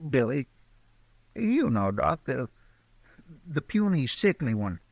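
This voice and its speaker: An actor playing a simple, deferential frontier sidekick in a radio western, with a rustic, hesitant way of talking. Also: male voice, mid-range voice, very animated, slow pace, no room sound, exaggerated voice. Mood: earnest. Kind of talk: old-time radio western acting.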